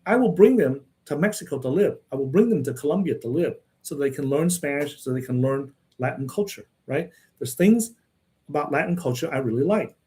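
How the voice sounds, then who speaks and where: A man's voice: a little high, lively intonation, brisk, warm tone. A man who grew up in Texas, talking casually in a rambling monologue and answering viewers' questions, often repeating words and tagging his sentences with "right?" and "okay?".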